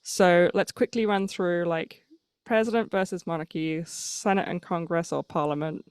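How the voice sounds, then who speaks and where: A woman's voice: lowish voice, fairly even intonation, normal speed, smooth, no room sound. A woman hosting a podcast interview, chatting in a friendly, casual way with lots of small affirmations. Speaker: podcast host.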